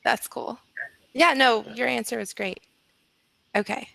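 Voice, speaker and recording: Casual woman interviewer, bright creaky voice. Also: a little high, a little slow, slight background noise.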